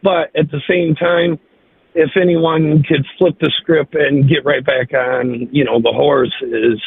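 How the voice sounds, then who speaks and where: Guy calling in, gravelly and husky, thin phone line sound. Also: fairly high pitch, steady tone, measured pace, no room sound. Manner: rambling, eager. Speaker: caller. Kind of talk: call-in chat.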